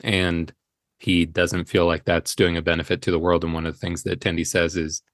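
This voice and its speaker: Male podcast guest, deep register, reflective and conversational, recorded dry. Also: level tone, moderate pace, no room sound. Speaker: podcast guest, indie audio drama creator. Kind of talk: reflective casual interview talk.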